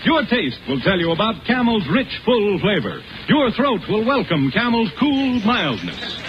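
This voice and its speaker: A man's voice: sits high, sing-song, unhurried, a little hiss or hum. A man announcing a sponsor's advertisement on 1940s radio, emphatic and projected, spelling things out. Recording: dull, band-limited old radio broadcast. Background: loud music.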